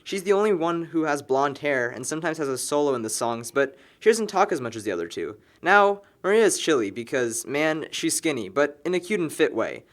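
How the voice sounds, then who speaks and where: A man's voice: fairly high pitch, sing-song, brisk, hardly any pauses. An actor playing a wry young man in a film, rambling to a friend in slangy, emphatic buddy talk. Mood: opinionated. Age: young adult. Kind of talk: naturalistic buddy dialogue.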